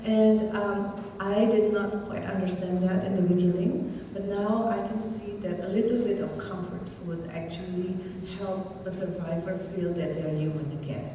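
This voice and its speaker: A woman's voice: lowish voice, steady tone, normal speed, background noise. A middle-aged woman, a native Indonesian speaker, gives an earnest talk as a shelter advocate, with many fillers and repeated phrases. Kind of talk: public talk. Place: large hall with an audience. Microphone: podium mic, recorded by a far camera. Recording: roomy hall reverb from far off.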